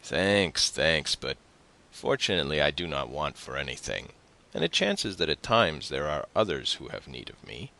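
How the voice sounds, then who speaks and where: A man's voice: very deep voice, big pitch swings, normal speed, gravelly, bright, forceful tone, heavily acted. A man voicing a hearty, sly young tailor in an audiobook, effusive and overfamiliar. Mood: sly, proud. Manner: effusive, familiar.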